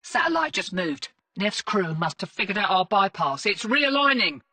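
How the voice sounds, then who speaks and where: A woman's voice: medium pitch, big pitch swings, moderate pace, sharp tone, distant mic. A woman playing a brash, sardonic rebel gunner with a wry, swaggering, casual delivery in video game mission dialogue. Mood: worried.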